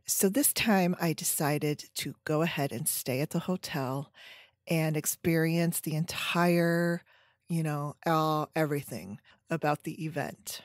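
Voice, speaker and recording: A woman in her forties hosting a solo podcast chat at home, her voice scratchy from a sinus infection, with lots of ums and trailing pauses. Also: deep voice, steady tone, no echo, clean studio sound. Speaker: podcast host. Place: home room with bookshelves. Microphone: headset.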